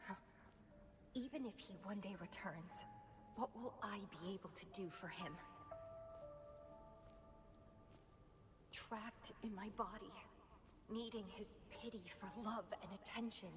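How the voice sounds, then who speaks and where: A woman's voice: medium pitch, normal speed, natural voice. A woman playing a proud, obsessive young woman fighter in a video game, speaking in a dramatic, introspective monologue. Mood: bitter, anguished. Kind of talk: video game character acting. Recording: music under the voice.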